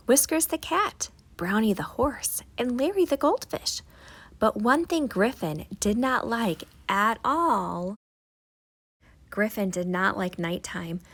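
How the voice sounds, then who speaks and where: Breathy woman reading a bedtime story. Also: on the high side. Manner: dramatic, drawn-out storybook delivery. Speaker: storyteller reading a children's book. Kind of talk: expressive bedtime story narration.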